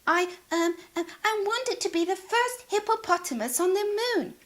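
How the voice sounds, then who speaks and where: A woman's voice: very high voice, moderate pace, fairly bright tone, voice pushed up. A woman voicing an eager, dreamy and friendly female hippo in a children's storybook, speaking in earnest exclamations. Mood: apologetic, hopeful.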